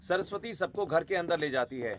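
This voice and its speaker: A man's voice: fairly high pitch, lively intonation, moderate pace. A man narrating a scripted Hindi story.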